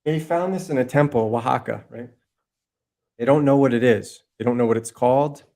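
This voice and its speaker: A man's voice: medium pitch, moderate pace, creaky, mellow. A man talking as a podcast guest, impassioned and rambling, swearing freely and restarting his sentences as he builds his case. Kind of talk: heated casual conversation.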